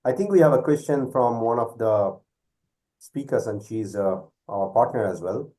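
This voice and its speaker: A man's voice: mid-range voice, lively intonation, normal speed, dark tone, rough recording. A man moderating an online panel, giving brief instructions with a filler or two.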